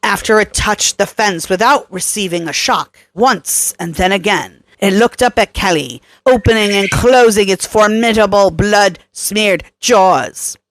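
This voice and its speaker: A woman storyteller reading fiction aloud in an expressive, suspenseful, dramatic narrating style. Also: medium pitch, a little slow, hardly any pauses, low-quality recording.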